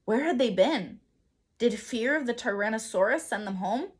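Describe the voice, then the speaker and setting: A woman reading a children's story aloud as a teacher, with expressive, dramatic emphasis. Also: on the high side, breathy edge. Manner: dramatic emphasis, pauses to quiz listeners.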